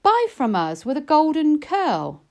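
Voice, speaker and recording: Storybook goblin merchants, small sly men, acted by a woman, eagerly calling out their wares. The voice lifts higher and coaxes the listener to buy. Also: huge pitch swings, measured pace, heavily acted.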